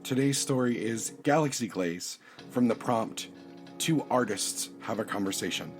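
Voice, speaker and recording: A man's voice: medium pitch, lively intonation, unhurried. A man reading a scripted introduction and credits as a podcast announcer. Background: prominent music.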